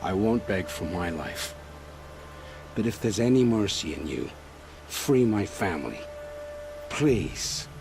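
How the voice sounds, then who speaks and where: An actor playing a remorseful, condemned man in a video game drama, speaking gravely with a resigned, pleading manner. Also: sits low, lively intonation, slow pace, over music, heavily acted. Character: remorseful condemned man, coerced accomplice who loves his family.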